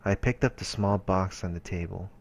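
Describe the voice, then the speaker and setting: A man's voice: low voice, normal speed, gravelly. A man reading visual novel narration aloud for a let's play.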